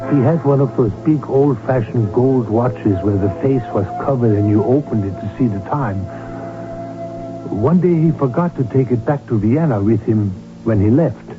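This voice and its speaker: A careful royal physician and confidant acted by a man speaks at a middling pitch with formal precision. It is a vintage radio drama, muffled, with faint music underneath. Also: moderate pace, natural voice. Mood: grave recounting. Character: cautious, concerned male royal physician and confidant. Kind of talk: radio drama acting and in-character narration.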